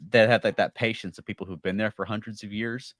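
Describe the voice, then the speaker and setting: A man on a fan discussion panel, chatting casually in an animated, agreeable way. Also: lowish voice, quick pace, no room sound, clean studio sound. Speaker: podcast panelist.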